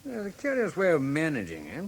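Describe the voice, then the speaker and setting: An actor in a British radio drama playing a genial, admiring gentleman companion to a detective, hesitant, with an English accent. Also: a little high, huge pitch swings, fairly quick, exaggerated voice. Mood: curious. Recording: old radio recording with faint noise.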